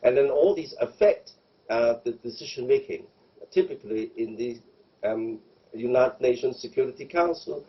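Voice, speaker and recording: A man giving off-the-cuff political commentary as a news panel guest, speaking in a halting, measured and diplomatic way. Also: medium pitch, highs cut off.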